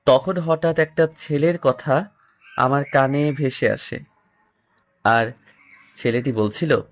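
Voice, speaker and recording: Casting: young male narrator, mid-pitched voice, first-person romantic audio story with acted dialogue. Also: slow.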